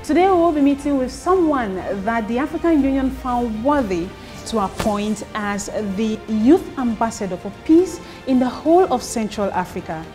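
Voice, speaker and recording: Lady tv host, formal announcer style, highish voice, clear music under her. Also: darkish tone. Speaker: television presenter. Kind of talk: scripted introduction and interviewing.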